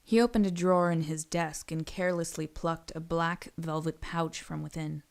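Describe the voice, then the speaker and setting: A woman's voice: sits low, steady tone, normal speed, creaky. A woman narrating a scripted audio story.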